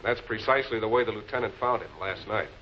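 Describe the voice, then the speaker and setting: An actor with an American accent playing a composed, probing public prosecutor in a 1940s television crime drama. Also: male voice, lowish voice, fast, natural voice. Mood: brisk, composed. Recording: muffled, noisy old 16 mm film soundtrack.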